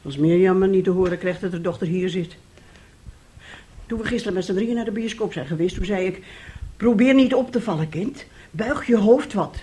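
A woman's voice: mid-range voice, moderate pace, mellow, close to an everyday voice. An actress playing a fussy, scheming older mother in a radio play, theatrical and effusive, repeating herself. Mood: agitated. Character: fussy, scheming older mother, gushing then bitterly nagging. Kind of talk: Dutch radio play acting.

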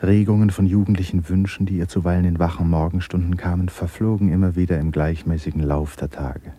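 A man narrating a radio play in a measured, literary storytelling style. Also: low-pitched, steady tone, mellow, husky, dry recording.

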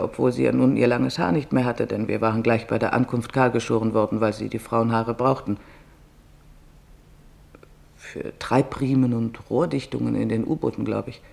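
A weary, sorrowful camp survivor, voiced by a man, gives testimony in a radio play. The voice has a heavy creak and a medium pitch. The recollection is plain and subdued. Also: darkish tone.

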